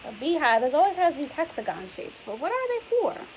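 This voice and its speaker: A woman's voice: sits high, very animated, a little fast. A woman reading a picture book aloud to young children, patiently explaining it in simple words and putting questions to the listener. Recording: clear background hiss and noise.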